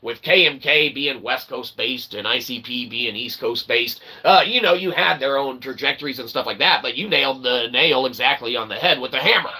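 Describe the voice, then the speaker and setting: A man hosting a casual, rambling livestream, self-deprecating and excitable, speaking of himself as "we.". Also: medium pitch, moderate pace, few pauses. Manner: refers to himself as "we". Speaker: livestream host. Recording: clearly noisy background ambience.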